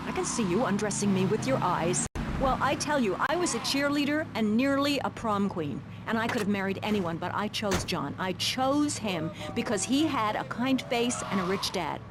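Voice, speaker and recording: A woman's voice: mid-range voice, animated, moderate pace, close to an everyday voice. An actress playing a prim, bitter suburban mother, indignant and dramatic on a satirical talk radio show. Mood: indignant, hurt. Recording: very noisy game capture, traffic sounds.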